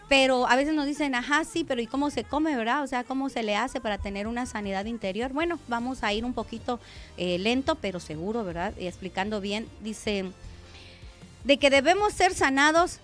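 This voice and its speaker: A woman pastor giving a conversational radio sermon, often addressing listeners as brother. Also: fairly high pitch.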